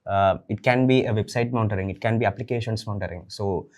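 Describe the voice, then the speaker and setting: A man's voice: on the low side, quick pace, darkish tone. A man who heads sales, speaking on a roundtable panel and explaining points by listing items one after another. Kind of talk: roundtable discussion.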